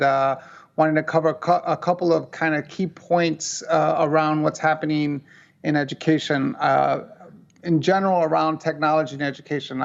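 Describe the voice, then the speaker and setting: A man's voice: a little high, vocal fry. An American man giving an earnest, conversational keynote talk over a video call, with muffled, lo-fi call audio. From United States. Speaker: keynote speaker.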